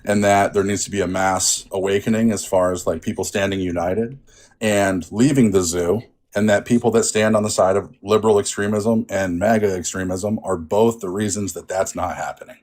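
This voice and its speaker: Deep-voiced laid-back guy. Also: fairly even intonation, normal speed, darkish tone. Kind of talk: casual political debate.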